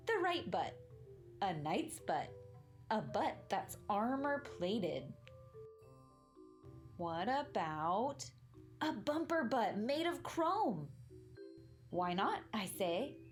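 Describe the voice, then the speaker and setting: Woman reading as an animated high-pitched storybook boy. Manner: exaggerated, sing-song rhyming delivery. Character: young boy, dramatic and imaginative, in a rhyming picture book. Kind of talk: animated storybook read-aloud.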